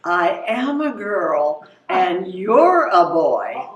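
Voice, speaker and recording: A woman's voice: on the low side, sing-song, drawn-out words, muffled recording, full character voice. A woman playing a naive, inquisitive talking baby in a readers theatre stage performance. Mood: curious. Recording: echoey hall, distant microphone.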